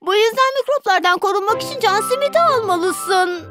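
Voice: very high voice, lively intonation, a little fast, over music. A performer voicing a sensible young boy, an older brother who explains and corrects, in a dubbed children's cartoon. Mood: explaining.

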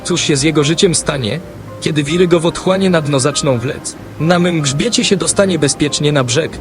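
Guy as kind brave dolphin begging in verse, fairly high male voice, loud music under it. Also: moderate pace, natural voice. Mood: earnest pleading. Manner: earnest, pleading verse delivery. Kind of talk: audio drama acting.

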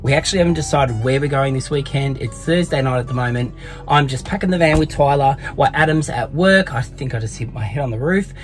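A chatty, upbeat Australian man in his thirties talks through a phone held at arm's length inside a small camper van. His voice sits at an ordinary middle pitch. Music plays clearly underneath. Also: quick pace. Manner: chatty, upbeat, with soft asides to his dog. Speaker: vlogger. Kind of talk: casual vlog narration. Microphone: handheld phone at arm's length.

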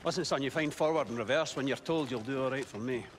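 A man playing a steady, wry army tank commander in a video game, commanding yet reassuring. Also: medium pitch, fast talker, a little hiss or hum, close to an everyday voice. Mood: calm, wry. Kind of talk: video game cutscene acting. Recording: loud music behind. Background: loud music.

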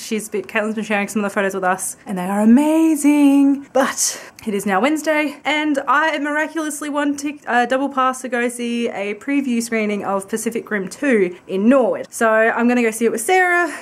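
A young Australian woman in her twenties with a husky voice from a cold. She chats casually to a handheld camera at home and rambles through her plans. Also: on the high side, moderate pace. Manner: chatty and rambling, with light laughs. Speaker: vlogger. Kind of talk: casual vlog chat to camera. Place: at home, indoors, by a window. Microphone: handheld camera close to the face.

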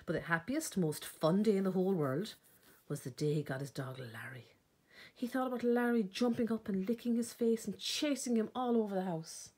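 An Irish woman reading a children's picture book aloud expressively, with dramatic pauses and emphasis. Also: medium pitch, darkish tone, breathy edge. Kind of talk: storytime read-aloud narration.

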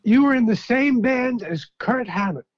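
A man in his sixties with a thick New York accent and a gruff voice, chatting casually over the phone. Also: very high voice, lively intonation, normal speed. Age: 60s. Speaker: podcast guest, rock fan. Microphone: phone call. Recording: dull, lo-fi phone line.

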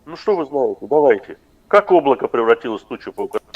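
A man's voice: mid-range voice, big pitch swings, fast, darkish tone, slightly rough, lo-fi sound. A man in a heated video chat argument, pushy and lecturing, talking over the other side. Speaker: chat roulette stranger.

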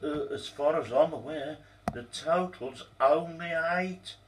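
A man's voice: a little high, slow pace, distant mic, a little hiss or hum, voice pushed up, poor audio. A man voicing a nervous yet stubborn male clerk who splutters and insists he is right. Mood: insistent.

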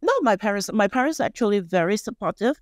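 A woman in her forties speaks as an interview guest with a Malaysian Chinese accent, Chinese being her first language. She talks casually, often repeating and rephrasing as she goes. The microphone is close and the small studio sounds dry, at a middle pitch. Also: expressive, fast, no room sound. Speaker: guest chef and culinary instructor. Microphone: close studio microphone on boom arm.

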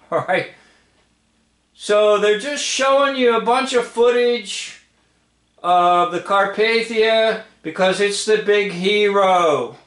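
A man with a high-pitched voice commentates on film footage in an unscripted, emphatic way. He speaks slowly, repeating phrases and checking that the listener follows. The recording is roomy, with clear echo around his voice. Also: a bit bright. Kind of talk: off-the-cuff commentary over old film footage. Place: indoor room, filming a screen. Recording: roomy, echoing home recording.

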